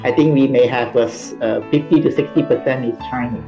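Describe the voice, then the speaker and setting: Middle-aged Malaysian-accented academic guest, halting and filler-heavy, with soft music behind. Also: male voice, mid-range voice, dull audio. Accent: Malaysian English. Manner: halting, many "uh" fillers and "okay" tags. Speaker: university department chair, guest. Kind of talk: interview conversation.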